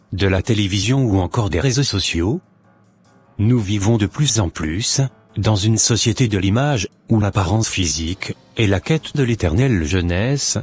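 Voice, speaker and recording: Man doing a cartoon doctor, middle-aged, preachy, deep, husky, soft background music. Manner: calm, lecturing and preachy. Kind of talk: amateur cartoon voice acting.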